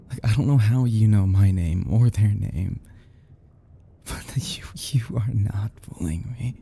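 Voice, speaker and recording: Guy playing desperate yandere kidnapper in asmr roleplay, very dark mellow voice, gravelly, stammers a lot, some hiss. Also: on the low side. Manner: halting, stammering, breathy nervous chuckles, often dropping to whispers. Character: obsessive lovesick male kidnapper, desperate and unstable. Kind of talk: intimate audio roleplay, ASMR acting.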